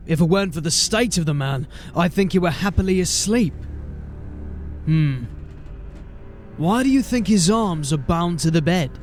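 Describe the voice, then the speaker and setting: Brief: a weary, wry sergeant, high-pitched for a man, faint music under. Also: expressive, moderate pace, pauses often. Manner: dry, wry, commanding. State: tired. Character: weary, dry-witted watch sergeant, middle-aged man. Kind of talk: audio drama acting.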